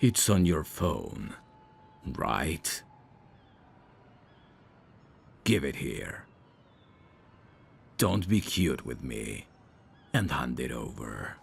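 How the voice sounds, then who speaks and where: A man playing a menacing, teasing mafia boss in an ASMR roleplay, speaking in a deliberate, taunting way. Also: a bass voice, very animated, creaky, a little hiss or hum, exaggerated voice. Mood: cold, threatening. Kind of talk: ASMR roleplay audio drama.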